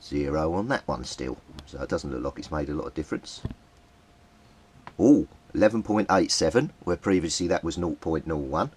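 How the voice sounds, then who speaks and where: Deep-voiced man muttering over a repair. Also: expressive, normal speed, darkish tone, husky. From Britain. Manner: muttering, thinking aloud while tinkering. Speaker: radio repair hobbyist. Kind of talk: casual repair commentary.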